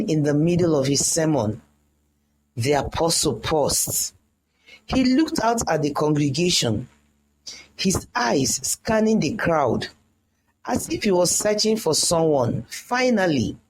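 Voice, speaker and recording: A storyteller reading a scripted first-person tale in a dramatic, deliberate way. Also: mid-range voice, slight background noise, poor audio.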